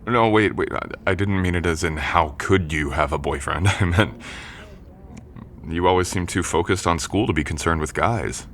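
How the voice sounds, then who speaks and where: A very deep young male voice from an actor plays a college jock in a boyfriend roleplay. He is confident and teasing, with a gentle, protective streak. The delivery is intimate and conversational, with some background noise. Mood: concerned, protective.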